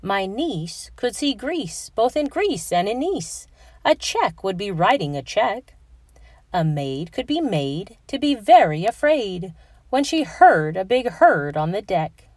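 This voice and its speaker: A woman reading a children's picture book aloud in an expressive, sing-song way, with clear enunciation. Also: medium pitch, takes it slowly, no echo, studio quality. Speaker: read-aloud narrator.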